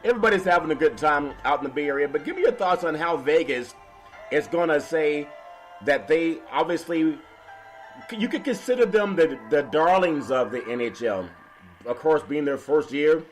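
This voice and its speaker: A man with a raspy, half-lost voice hosting a casual sports talk show, laughing often and talking slowly. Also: on the high side, animated, soft background music, low-quality recording. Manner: slow and laughing, keeps calling his co-host's name. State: hoarse, partly lost voice. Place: West Coast radio studio.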